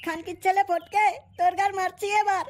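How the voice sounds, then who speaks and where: A performer playing a scheming, foul-mouthed, lovesick young man in a comic cartoon dub, talking crudely and excitably. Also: very high-pitched, fairly quick, slight background noise. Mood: crude, eager.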